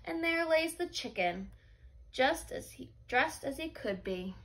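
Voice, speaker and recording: Casting: female teacher for picture-book narration, high pitch, very lively intonation, expressive and instructive. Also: unhurried. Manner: expressive, instructive, asks and confirms answers. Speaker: teacher reading aloud. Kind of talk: animated storybook read-aloud with questions to pupils.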